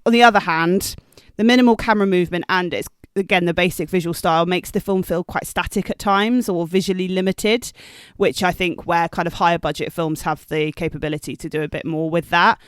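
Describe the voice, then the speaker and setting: Male film-podcast co-host, notably high smooth voice, dry sound, considered analytical chat. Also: fairly bright tone, dry recording. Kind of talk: casual analytical film discussion.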